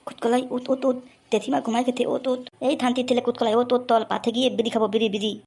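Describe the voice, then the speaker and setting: A woman's voice: on the high side, flat delivery, rapid-fire, darkish tone. A woman voicing a cheeky, bossy, foul-mouthed little cartoon boy with a childish lisp, urging and repeating himself. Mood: urgent, bossy. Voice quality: childish lisp, swaps consonants for t and th. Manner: bossy, urgent, repetitive.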